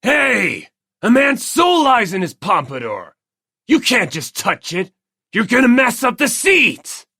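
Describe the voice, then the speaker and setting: A man's voice: very high voice, huge pitch swings, slow, pushed and bright, breathy edge, dry recording, clean studio sound, pitched above the performer's own voice, full character voice. A man voicing a hot-tempered teenage delinquent gang leader in an audiobook, coarse and intimidating. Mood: furious. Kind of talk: audiobook character voice.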